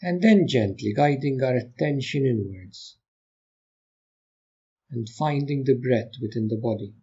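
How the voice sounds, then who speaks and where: Man doing guided meditation, soft husky voice, really slow n gentle, bit muffled recording. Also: medium pitch. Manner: gentle, unhurried, calm instruction with long pauses. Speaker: meditation teacher.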